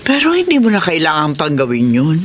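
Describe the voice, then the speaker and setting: A woman's voice: low-pitched, wildly swooping pitch, a little fast, slight background noise. Stern older Filipino mother-in-law, swooping intonation. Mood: stern, caring. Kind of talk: Filipino radio drama acting.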